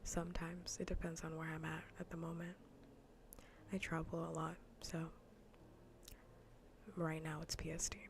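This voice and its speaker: Female ASMR gaming streamer: low, gravelly murmur slipping into breathy whisper, casual and unhurried. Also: completely flat delivery, slight background noise. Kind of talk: ASMR gaming livestream chat, soft whispering.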